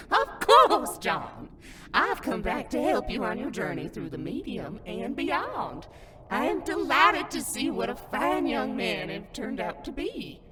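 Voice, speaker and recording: A woman's voice: high voice, huge pitch swings, slow pace, crowd noise, exaggerated voice. A woman voicing a playful, doting grandmotherly ghost guide in a theatrical, storybook manner for a cartoon fandub. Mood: doting, gleeful. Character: playful grandmotherly ghost sprite in clown garb, mysterious guide. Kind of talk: fandub character acting.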